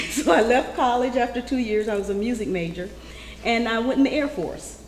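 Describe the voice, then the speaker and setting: A woman, telling her life story in a warm, chatty way. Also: on the high side, moderate pace, slight background noise. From South Carolina, US. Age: 50s. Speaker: interviewee. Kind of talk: casual conversation. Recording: echoey room with long reverb.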